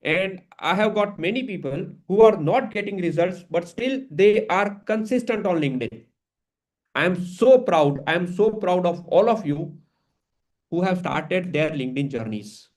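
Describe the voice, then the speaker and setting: A man's voice: high-pitched, lively intonation, a little slow, rough recording. A man, hosting as a career coach and giving an earnest, emphatic motivational talk to his community. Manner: earnest, emphatic, switches into Hindi phrases.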